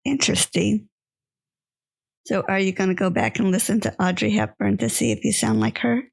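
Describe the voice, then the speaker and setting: Female podcast host, gentle and measured, with some vocal fry. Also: medium pitch, very animated. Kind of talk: podcast interview.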